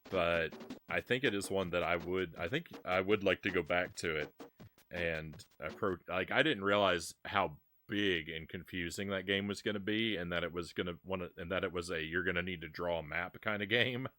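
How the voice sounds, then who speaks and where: A man's voice: low voice, normal speed, pushed and bright, dry recording. A man streaming a retro game live, chatting casually and self-deprecatingly, often restarting his sentences. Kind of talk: casual live-stream chat while gaming.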